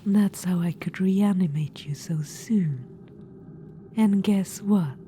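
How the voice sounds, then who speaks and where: Undead witch-queen, haughty and cruel yet doting, very dark hushed whisper, faint ambience behind ; a woman performs her. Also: medium pitch, slow, a little hiss or hum, lightly acted. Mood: doting menace. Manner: whispered, purring, calls listener darling; haughty and gleefully macabre. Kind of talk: whispered ASMR audio roleplay.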